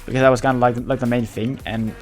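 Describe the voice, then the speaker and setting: A male streamer whose first language is Dutch chats casually in English with a lingering Dutch accent. His pitch sits in the middle of the male range. He talks haltingly, restarting phrases as he hunts for words, over faint background music. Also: little pitch movement. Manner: halting, searching for words, many repeated starts. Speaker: livestreamer. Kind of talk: casual livestream chat.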